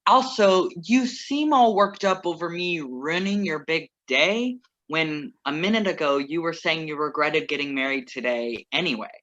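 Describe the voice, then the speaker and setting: A man's voice: a little high, lively intonation, bright, forceful tone. A man reading the part of a composed, wry ex-lover who crashes a wedding, in a staged play reading over a video call. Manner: measured, wry, earnest. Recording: muffled video call audio.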